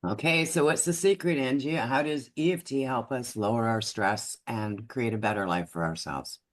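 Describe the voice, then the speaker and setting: A woman's voice: very deep voice, moderate pace. Woman hosting a podcast interview.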